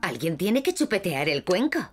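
A woman's voice: lowish voice, big pitch swings, moderate pace, over loud music. A woman voicing a kindly, cheerful mother pig in a children's cartoon, with a Castilian Spanish accent.

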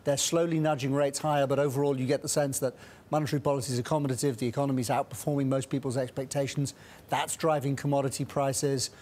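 A middle-aged man speaking as a guest strategist in a TV studio interview on a lapel mic, brisk, with frequent restarts and fillers. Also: mid-range voice, level tone, darkish tone.

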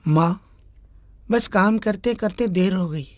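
A woman voicing an anxious, caring male motorist in an animated moral story. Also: medium pitch, slow pace, no echo, lightly acted. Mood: nervous. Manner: urgent, caring.

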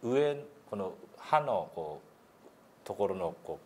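A man's voice: deep voice, big pitch swings, slow pace, dark tone, slight background noise. A man working as an English pronunciation instructor, coaching step by step with frequent encouraging feedback in an indoor meeting room.

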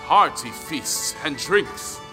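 A man narrating a scripted folktale in a deliberate, dramatic manner. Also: fairly high pitch, lively intonation. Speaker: storyteller. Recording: long reverb, clear music underneath. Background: music.

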